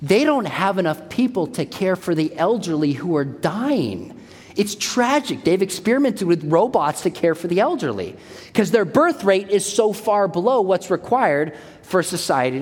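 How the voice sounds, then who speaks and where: A man in his forties giving an emphatic church talk, repeating his key points. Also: sits high. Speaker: speaker at a church. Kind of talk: sermon-style talk. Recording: long hall reverb.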